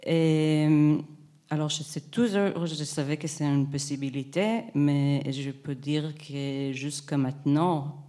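A woman's voice: low-pitched, steady tone, slow pace, warm tone. A woman from Israel, whose first language is not French, giving a hesitant interview answer and searching for words. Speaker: guest.

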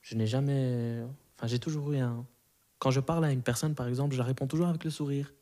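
Dark relaxed timbre, man, early twenties, from Belgium, native Arabic speaker, casual interview guest, hesitant phrasing, reflective. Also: mid-range voice, fast talker. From moved to Belgium at five, grew up there. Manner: hesitant, searching for words.

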